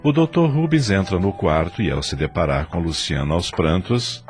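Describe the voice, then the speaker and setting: A man's voice: low-pitched, animated, normal speed. A man with a Brazilian accent reading dramatic scripted narration for an audio drama. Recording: music under the voice.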